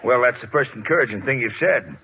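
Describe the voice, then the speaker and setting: An actor playing a gruff, irascible baseball team manager in an old radio comedy-drama, barking orders sarcastically. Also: male voice, medium pitch, expressive, brisk, bright, forceful tone. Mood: grudging, sarcastic. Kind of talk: 1940s-50s radio comedy-drama acting. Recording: muffled old radio broadcast recording.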